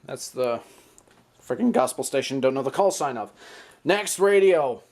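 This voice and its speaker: A man giving an informal, excitable show-and-tell commentary, often interjecting as he goes. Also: medium pitch, normal speed. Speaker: video host. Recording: clearly noisy home recording.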